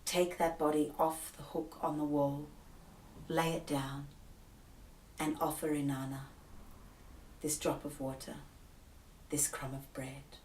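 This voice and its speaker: A woman's voice: low-pitched, flat delivery, a little mellow, a little hiss or hum. A woman giving voice to a grave, compassionate water god. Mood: solemn. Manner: slow, grave commands. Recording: roomy echo, distant mic.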